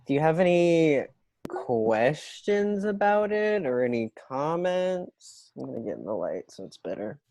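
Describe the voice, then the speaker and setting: Low, mellow, slow-talking woman. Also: very animated, dry recording. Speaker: playwright hosting a readthrough. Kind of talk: casual talk.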